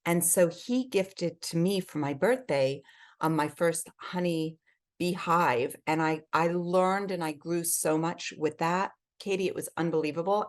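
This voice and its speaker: A woman from Switzerland and England talking effusively and emphatically as a guest in a conversational interview. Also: low voice. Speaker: podcast guest, health advocate.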